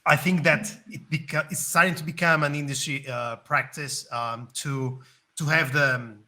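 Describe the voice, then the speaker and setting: Bright-voiced male co-host. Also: fairly high pitch, fairly even intonation, moderate pace. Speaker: podcast co-host. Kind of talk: casual interview chat.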